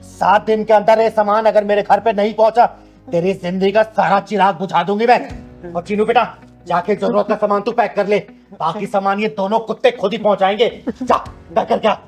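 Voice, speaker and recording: A man's voice: sits high, fairly even intonation, a little fast, a little mellow, faint music behind. A man acting a fierce, protective kinnar elder who scolds, threatens and boasts in a melodramatic short film. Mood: defiant, commanding. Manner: scolding, threatening, boastful, speaks of self by name. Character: Chingari, fierce kinnar (transgender woman) avenger, protective elder.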